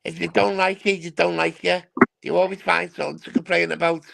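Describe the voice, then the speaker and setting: A man's voice: a little high, level tone, brisk, creaky, a bit bright, no room sound. A man grumbling in casual chat about people complaining. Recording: noisy background hiss.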